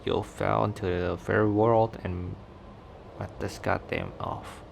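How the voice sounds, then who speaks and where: Man acting as cocky male werewolf, ASMR roleplay, very slow pace, teasing and flirty, noisy ambient background. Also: fairly low pitch, little pitch movement, creaky, exaggerated voice. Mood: tender, playful. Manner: teasing, chuckling between phrases.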